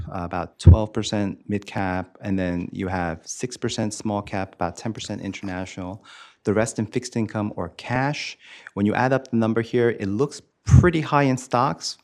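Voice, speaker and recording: A man's voice: on the low side, darkish tone. A man presenting investment figures at a committee meeting, explaining them informally and in plain terms.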